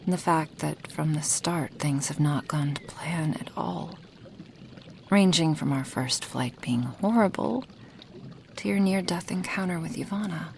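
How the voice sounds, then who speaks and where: A woman playing a gentle, apologetic female dragon in a soft-spoken ASMR roleplay, breathy and formal in her careful phrasing. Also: low-pitched, slight background noise. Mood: regretful, subdued. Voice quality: breathy, half-whispered.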